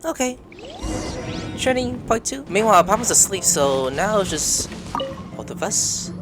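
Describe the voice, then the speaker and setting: Female gamer, casual sweary reactions, slow delivery, animated pitch, game music behind. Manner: exclamatory, sweary.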